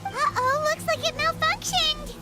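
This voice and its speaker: A woman voicing a tiny, excitable, childlike fairy companion in a video game, with a squeaky voice. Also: unhurried, soft background music, heavily acted. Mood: alarmed. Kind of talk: scripted game voice acting.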